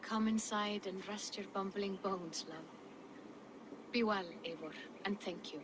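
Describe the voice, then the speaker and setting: A woman's voice: mid-range voice, a little slow, noisy background, close to an everyday voice. An actress playing a fierce, loving young Saxon noblewoman in a game cutscene, poised and resolute. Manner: poised, affectionate but resolute. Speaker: video game supporting character. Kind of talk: dramatic game cutscene acting.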